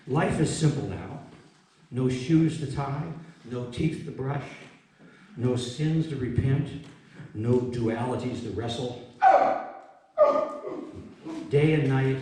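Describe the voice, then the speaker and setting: A man speaking to a live gathering as a poet, reflective and halting, moving between informal talk and reading. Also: mid-range voice, mellow. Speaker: poet presenting his work. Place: book launch event in an echoey room. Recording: echoey room, distant mic, faint noise.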